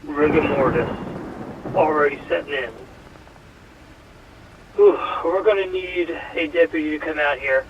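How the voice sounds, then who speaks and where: A man playing a shaken paramedic who speaks in a subdued, hushed way, heard over a radio or phone. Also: a little high, unhurried, pauses often, slight background noise, natural voice. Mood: grim. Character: shaken male paramedic, grim and low-key. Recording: distant, muffled radio and phone sound.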